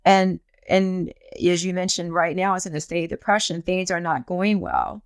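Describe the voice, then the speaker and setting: A woman's voice: lowish voice, sing-song, moderate pace, smooth, no echo, studio quality. A middle-aged woman hosting a podcast, asking questions and encouraging her guest with affirming murmurs. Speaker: podcast host. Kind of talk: interview host.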